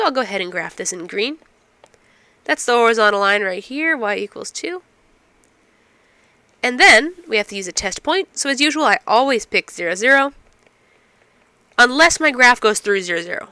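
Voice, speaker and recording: A woman's voice: mid-range voice, bright, forceful tone, no room sound. A woman instructor explaining math step by step, asking and answering her own questions, while getting over a cold. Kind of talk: recorded math lecture.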